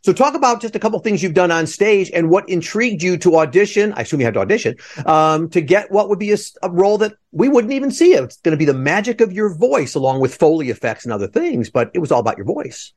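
A man's voice: high voice, sing-song, fast, few pauses. A man hosting a conversational interview, chatty and digressive, with asides to listeners. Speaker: podcast host interviewing theater people.

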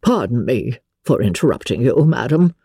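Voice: mid-range voice, sing-song, moderate pace, dark tone, slightly rough, dry recording, clean studio sound. A reader voicing a pompous, self-important young suitor in a formal, wordy manner, as in an audiobook. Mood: stiffly offended.

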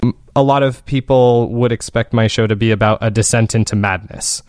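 A man's voice: fairly low pitch, steady tone, brisk, darkish tone, clear, resonant tone, no echo, clean studio sound. A man speaking as an interview guest, explaining his creative work in an earnest, reflective, conversational way. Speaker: guest, fiction podcast creator.

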